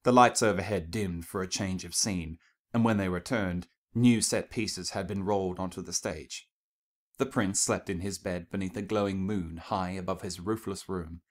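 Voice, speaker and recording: A man's voice: low-pitched, moderate pace. A man reading scripted fiction aloud as a narrator, in a storytelling style.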